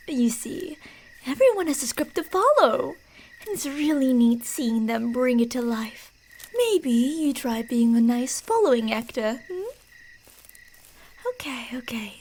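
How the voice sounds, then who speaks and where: A woman playing a creepy, delusional stalker posing as a park ranger in an ASMR roleplay, teasing and falsely sweet, slipping between soft speech and whispers. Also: sits high, expressive, measured pace. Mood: playful, coaxing.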